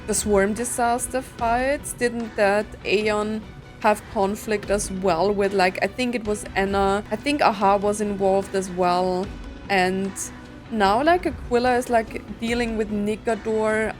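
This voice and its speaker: A woman's voice: mid-range voice, fairly even intonation, takes it slowly. A woman giving casual game commentary, thinking aloud in a musing, hesitant way. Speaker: gaming streamer. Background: game music.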